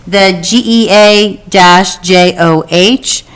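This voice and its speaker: A woman on a school board policy committee gives a formal report at a board meeting, steady and matter-of-fact, pausing often on um fillers. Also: mid-range voice, smooth.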